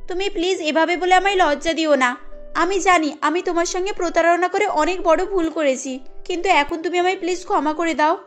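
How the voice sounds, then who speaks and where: A woman's voice: very high voice, brisk, faint music behind. A woman voicing a greedy, haughty young girlfriend in a cartoon story. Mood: apologetic.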